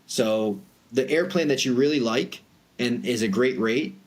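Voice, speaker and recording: A man's voice: medium pitch, unhurried. A man with a Boston accent explaining his industry conversationally and emphatically, as an expert guest in an interview. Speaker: private jet charter broker, interview guest.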